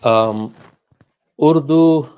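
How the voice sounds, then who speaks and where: A middle-aged man whose mother tongue is Gujarati, talking informally as a scholar answering questions, in a halting, reflective way that repeats phrases. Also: on the high side, a little mellow, smooth, dull audio. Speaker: Islamic scholar hosting a question and answer session.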